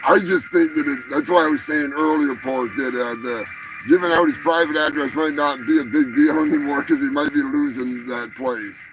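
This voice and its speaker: A man's voice: a little high, normal speed, over music, rough recording. A man hosting a live show, talking over the news in a loose, sarcastic, rambling way with lots of swearing and laughter. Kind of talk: casual profane news commentary.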